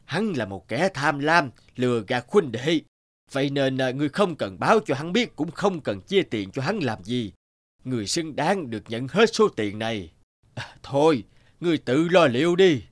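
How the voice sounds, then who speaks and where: A man voicing a wise, stern old river god in a cartoon, speaking slowly and deliberately. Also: mid-range voice, big pitch swings, lightly acted. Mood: solemn, benevolent. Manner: slow, deliberate, pronouncing.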